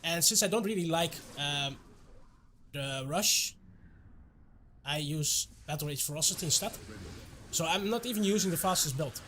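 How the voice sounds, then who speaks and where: Man, Dutch accent, fairly high voice, loose stream chat, noise behind. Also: moderate pace, pauses often. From Netherlands. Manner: relaxed, chuckles often, answers chat. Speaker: game streamer. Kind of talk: casual live-stream chat.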